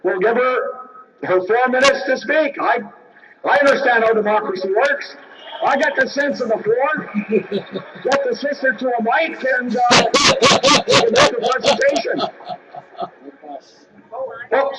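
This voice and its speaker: Man chairing a big convention, high voice, brisk and firm, sounds far off and muffled. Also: noisy background. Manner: brisk, informal, firm with the floor. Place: large convention hall. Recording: distant, dull hall audio.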